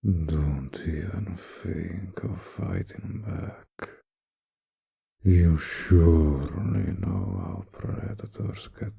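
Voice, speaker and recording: A man playing an ancient, imposing vampire in an intimate roleplay audio drama, courtly, seductive and possessive, with a deep gravelly, breathy voice that dips into whispers. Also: warm tone, full character voice. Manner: slow, courtly, seductive, menacing.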